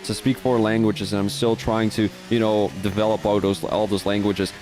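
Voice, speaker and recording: Low-voiced Dutch man commentating sim races. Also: level tone, fairly quick, a little mellow, slight background noise. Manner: chatty and rambling, with frequent restarts and fillers. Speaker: sim racing league commentator. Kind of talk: live race commentary mixed with casual chat.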